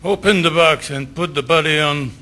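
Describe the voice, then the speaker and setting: An actor playing a blunt, grimly amused 19th-century surgeon in a radio drama, with a gruff, wry manner. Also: male voice, on the high side, normal speed, noisy background, close to an everyday voice. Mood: brisk, disgusted.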